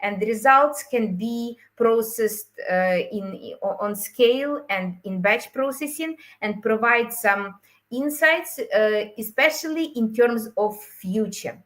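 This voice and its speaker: A woman's voice: fairly high pitch, slow, fairly bright tone. Woman presenting. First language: Ukrainian and Russian. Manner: hesitant, frequent fillers and restarts. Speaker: course creator presenting a webinar. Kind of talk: webinar talk.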